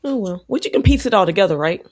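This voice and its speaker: A woman's voice: medium pitch, lively intonation, fast talker, a little mellow. Candid, laughing woman hosting a podcast. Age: 40s. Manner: candid, laughs often, casual swearing. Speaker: podcast host. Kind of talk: casual chat.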